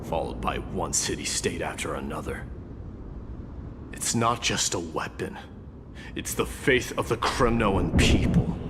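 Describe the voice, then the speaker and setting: Very deep, proud, gruff warrior. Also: male voice, noisy background. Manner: blunt, solemn, declamatory. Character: proud, gruff male warrior devoted to glorious battle. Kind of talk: scripted fantasy game voice acting. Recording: loud game music underneath.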